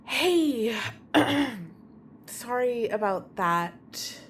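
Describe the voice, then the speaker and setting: A woman's voice: on the high side, expressive, drawn-out words, a little hiss or hum. An actress playing a troubled, secretive young woman, hesitant and emotional, in a naturalistic audio drama. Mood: nervous. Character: anguished, secretive young woman confronting a friend.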